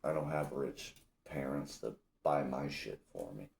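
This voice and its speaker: Young guy from illinois, deep voice, slow drawl, swears a lot on his podcast. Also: expressive, creaky, darkish tone, roomy sound. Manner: blunt, profane, rambling. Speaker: podcast host. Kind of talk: casual solo podcast rant.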